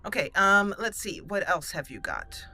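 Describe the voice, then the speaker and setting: Bright-voiced woman doing game commentary. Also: mid-range voice, very animated, moderate pace. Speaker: gaming channel host. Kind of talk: roleplay let's play commentary.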